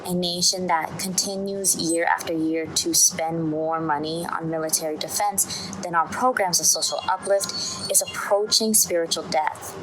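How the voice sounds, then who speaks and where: A woman's voice: lowish voice, steady tone, normal speed, over music, poor audio. A woman reading a formal speech aloud as part of a performance. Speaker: reader in a student theatre performance. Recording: clear background noise, ambient sound.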